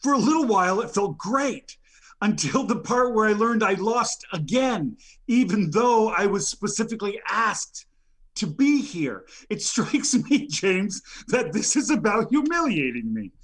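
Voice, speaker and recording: Indignant, stammering man with a high voice. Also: big pitch swings, a little slow, fairly bright tone, husky. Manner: indignant, rambling, stammers with many fillers. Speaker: nominee on a comedy show. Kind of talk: improvised comedy rant.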